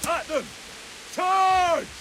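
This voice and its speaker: An actor playing a dutiful young medieval soldier in a game. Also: male voice, very high voice, drawn-out words, exaggerated voice, rough recording. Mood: fierce. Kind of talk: dramatic game cutscene acting.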